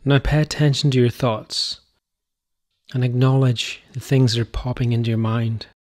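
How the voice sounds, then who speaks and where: Male meditation guide, mid-pitched voice, gentle instructional delivery, short phrases with space between them. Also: fairly even intonation, normal speed.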